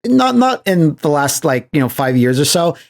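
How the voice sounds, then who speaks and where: A man's voice: a little high, very animated, moderate pace, vocal fry. A man co-hosting a podcast in a casual, jokey, conversational way. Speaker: podcast co-host. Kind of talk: casual chat.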